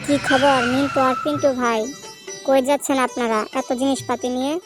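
A cheeky, vengeful cartoon monkey, played by a woman, sly and full of taunts. He rattles along quickly in a raised voice over loud background music. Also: high voice, fairly even intonation, lightly acted. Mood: friendly. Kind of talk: cartoon voice acting.

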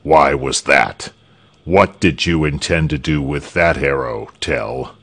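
A man's voice: a bass voice, takes it slowly, fairly bright tone, voice pushed down, heavily acted. A man voicing a cold, tyrannical governor in a storybook reading, speaking in a formal, commanding way. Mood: suspicious, commanding. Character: cold, tyrannical male governor, imperious and menacing.

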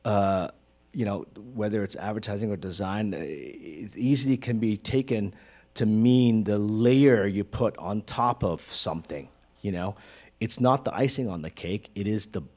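A man in his forties from Hong Kong speaks on a small auditorium stage, his voice sitting a little low. He talks animatedly, full of fillers and restarts, into a close stand mic. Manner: animated, gestures broadly, many fillers. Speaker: guest, creative director. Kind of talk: onstage conversation. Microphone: stand mic close to mouth.

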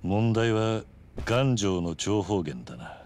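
Stoic, very deep-voiced veteran. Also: male voice, big pitch swings, a little mellow. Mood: grave. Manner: terse, grave, deliberate. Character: stoic, commanding, middle-aged male veteran tough guy. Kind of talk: Japanese video game cutscene acting.

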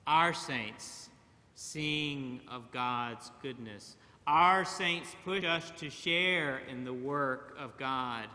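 A middle-aged man preaching a sermon from a church pulpit, slow and measured with long pauses. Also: mid-range voice, sharp tone. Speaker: pastor. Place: large church sanctuary, at a pulpit. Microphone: pulpit mic, far camera. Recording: church hall reverb.

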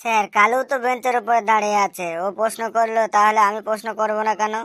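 A woman voicing a cheeky schoolchild in a comic cartoon, answering in a deadpan, literal-minded, politely impudent way. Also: mid-range voice, fast, no echo, studio quality, lightly acted. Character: cheeky schoolchild, earnest-sounding classroom smart aleck. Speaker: cartoon voice actor.

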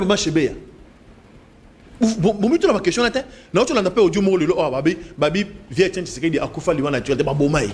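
A middle-aged man with a Congolese French accent, debating emphatically in a TV studio at a desk mic, mixing French and Lingala. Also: a little mellow. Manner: emphatic, gesturing, swinging pitch, switching between French and Lingala. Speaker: political debate guest. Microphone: desk microphone.

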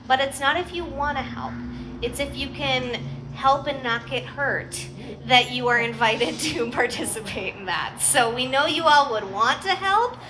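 A middle-aged American woman speaks as a pastor in a noticeably high voice. She addresses her congregation in an informal, chatty way, projecting to the group through a microphone at an outdoor church gathering. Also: unhurried, background noise. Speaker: pastor leading a church service.

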